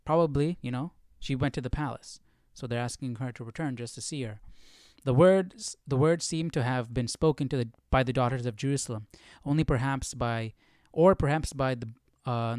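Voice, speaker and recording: A man's voice: mid-range voice, a little mellow, no room sound, clean studio sound. A man hosting a Bible study stream, reading aloud and musing in an informal, questioning way. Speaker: host of Bible study livestream.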